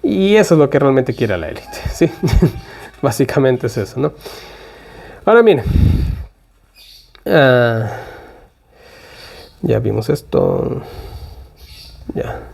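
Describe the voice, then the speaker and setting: A man's voice: medium pitch, very animated, slow, gravelly, pauses often, darkish tone. A man from Ecuador giving an informal Bible prophecy talk, rambling and conversational with frequent asides.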